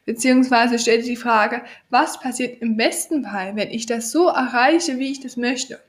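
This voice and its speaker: A woman's voice: fairly high pitch, normal speed. A woman with a cold hosting a podcast, giving an earnest motivational monologue straight to the listener. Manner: earnest, coaching, addresses listener directly. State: has a cold. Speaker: podcast host.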